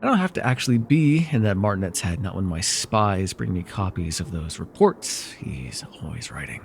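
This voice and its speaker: A man's voice: deep voice, very animated, normal speed, creaky, slight background noise, exaggerated voice. A man playing a villainous nightmare lord in an ASMR fantasy roleplay, sly, taunting and flirtatious, with a theatrical, teasing, mockingly sweet manner.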